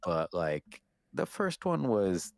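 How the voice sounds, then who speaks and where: A man with a deep voice speaks in a very dry recording space. He co-hosts a casual podcast and talks in an informal, conversational manner. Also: big pitch swings, takes it slowly, creaky, no echo. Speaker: podcast co-host. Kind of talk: casual chat.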